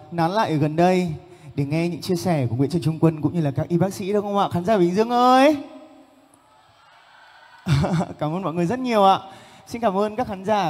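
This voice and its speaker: A man's voice: high voice, darkish tone. A man singing live on a shopping mall stage, chatting casually and politely with the audience between songs and coaxing them to come closer. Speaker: singer performing live. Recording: long reverb of a big mall hall, faint music.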